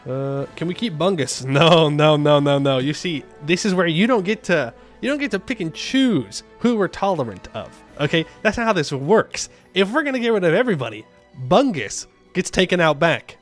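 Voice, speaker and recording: A man hosts a gaming livestream in a fairly high voice that moves up and down with great animation. He jokes casually, repeats himself for effect and replies to viewers as he goes. Faint music plays behind him. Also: sing-song, normal speed. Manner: playful, joking, repeats phrases. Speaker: game streamer. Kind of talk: casual livestream chat, reading and answering viewer comments.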